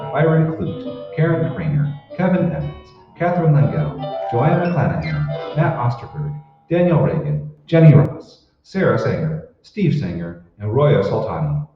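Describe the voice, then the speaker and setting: An earnest old-time radio announcer, played by a man, formal and measured with a widely varied pitch. He sounds far from the mic in a big echoing hall. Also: close to an everyday voice. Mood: formal, genial. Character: smooth, earnest 1940s radio show announcer. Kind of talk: 1940s radio sponsor announcing. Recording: echoey hall, distant mic, muffled.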